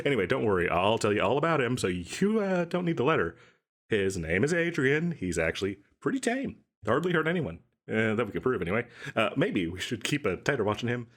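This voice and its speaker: A man's voice: medium pitch, a bit bright. A man playing a nervous, over-eager, shady matchmaking salesman in a comedic audio roleplay, rambling with jittery, hesitant asides. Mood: chatty, flustered. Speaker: voice actor performing a scripted audio roleplay.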